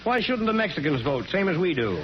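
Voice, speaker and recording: An actor playing a wry, principled small-town American mayor in a 1940s radio drama, speaking in a folksy, informal way. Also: male voice, high voice, very animated, a little fast. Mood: dry, challenging. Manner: folksy, drawling informal speech. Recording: muffled, hissy 1940s radio recording.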